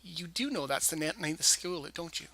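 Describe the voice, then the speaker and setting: A woman voicing a patient, weary male bus driver who speaks slowly and flatly. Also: low voice, dry recording, voice pushed down, heavily acted. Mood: deadpan. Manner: slow, flat, deadpan.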